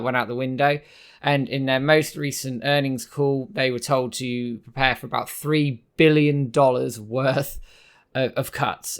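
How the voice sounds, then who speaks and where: A hoarse man hosting a casual news commentary video, hesitant with many filler words. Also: mid-range voice, fairly bright tone. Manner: hesitant, many ums and sort-ofs. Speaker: host.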